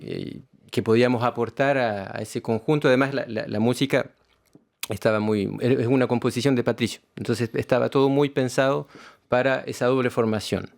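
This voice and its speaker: A man's voice: medium pitch, fairly even intonation, moderate pace, gravelly, a little mellow. A man with a Chilean accent, a musician being interviewed, talking reflectively and often searching for words.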